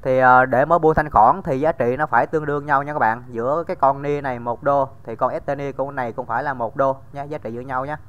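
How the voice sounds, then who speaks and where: Guy doing a casual screen tutorial, smooth voice, kinda dull lo-fi sound. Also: medium pitch, fairly even intonation, fast, hardly any pauses. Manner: casual, many fillers, addresses viewers directly. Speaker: crypto tutorial host. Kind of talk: screen-recorded tutorial walkthrough.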